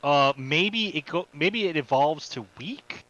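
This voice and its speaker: A man with a fairly high, bright voice co-hosts a casual podcast. He talks informally in slang and readily agrees. The sound is close and dry. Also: expressive, takes it slowly, no room sound, muffled recording. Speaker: podcast co-host. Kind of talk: casual chat.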